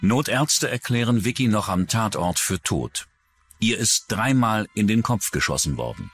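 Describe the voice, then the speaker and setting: A man's voice: lowish voice, lively intonation, normal speed, a bit bright, faint music behind. A man delivering scripted true-crime documentary narration as the narrator.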